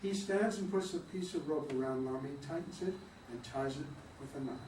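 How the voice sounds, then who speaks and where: A man's voice: fairly high pitch, a little fast, a little mellow. A man reads a play's stage directions aloud in a plain way, now and then tripping over a word. He is recorded from a distance in an echoey room, with a faint noise underneath. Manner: plain, with occasional stumbles.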